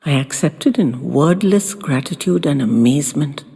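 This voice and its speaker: A woman reading sentimental short stories as a storyteller, in a soft, expressive, dramatic voice with lingering pauses. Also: low-pitched, gravelly, husky. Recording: long hall reverb, music behind.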